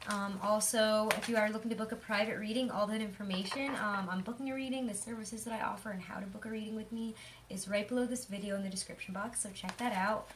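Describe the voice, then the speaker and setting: A young woman with a hoarse voice giving a chatty, rambling tarot reading, full of fillers. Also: medium pitch, fairly even intonation, fast talker, a little hiss or hum. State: hoarse from illness. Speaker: tarot reader. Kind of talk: casual tarot reading monologue.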